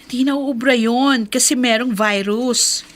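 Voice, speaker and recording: An actress playing a snide, bossy, envious co-worker in a radio drama, scornful and nagging. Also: female voice, a little high, steady tone, unhurried, slight background noise, natural voice. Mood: dismissive. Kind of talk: Tagalog radio drama acting.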